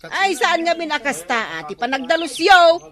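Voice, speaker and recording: Bright-voiced, bossy, nagging councilwoman played by a woman. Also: extremely high pitch, big pitch swings, normal speed, full character voice. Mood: bossy, scolding. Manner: scolding, demanding, commanding. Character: bossy, nagging village councilwoman insisting on her title. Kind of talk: comedy radio drama acting.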